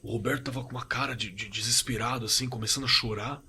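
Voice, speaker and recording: Male actor as shaken Brazilian working man, bright forceful voice, halting colloquial horror testimony for audio drama, some background noise. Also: mid-range voice, fairly even intonation. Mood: uneasy, remembering. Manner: halting, repetitive, colloquial, trailing off. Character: shaken working-class man recalling a frightening camping night. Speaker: eyewitness telling his own horror story.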